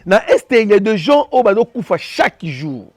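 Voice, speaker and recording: A man from Congo giving impassioned opinion commentary, talking fast and repeating himself as he switches between French and Lingala. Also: high-pitched, very animated, dry recording, studio quality.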